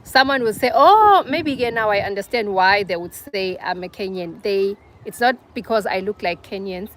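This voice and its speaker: A woman's voice: mid-range voice, animated, moderate pace, a bit bright. Young woman, South African accent, casual livestream chat, teasing viewers by name, phone held at arm's length, faint background hiss. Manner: chatty, teasing, answers comments by name. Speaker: livestream host.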